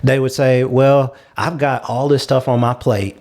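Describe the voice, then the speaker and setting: A man's voice: medium pitch, fairly even intonation, unhurried, a little mellow. Guy on a talk panel, earnest, explaining his take in a casual chat. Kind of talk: casual group discussion.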